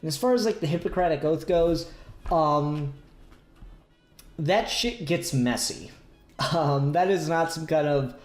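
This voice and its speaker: A man hosting a casual review discussion, speaking haltingly and searching for words as he works through his points. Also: a little high. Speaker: podcast host discussing comics. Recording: roomy echo, faint music behind.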